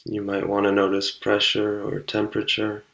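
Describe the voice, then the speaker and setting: A man leading a guided meditation, speaking calmly and unhurriedly with long pauses between short instructions. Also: on the low side, gravelly, rough recording.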